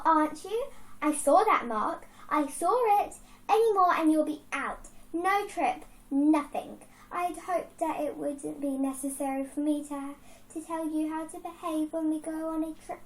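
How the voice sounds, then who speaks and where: A woman's voice: high-pitched, lightly acted. A young girl reciting a poem as a strict, exasperated schoolteacher who scolds her class with sarcastic rhetorical questions. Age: child. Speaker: poem reader.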